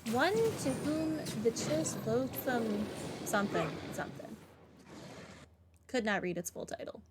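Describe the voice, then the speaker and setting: A woman's voice: on the high side, sing-song, measured pace, very noisy. A woman giving casual gameplay commentary in an offhand, wry way. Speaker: gamer doing a playthrough. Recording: game music clearly behind voice.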